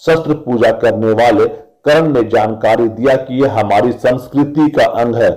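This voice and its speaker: A man's voice: medium pitch, a little mellow, rough recording. Man reading a formal radio news report. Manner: measured formal newsreading.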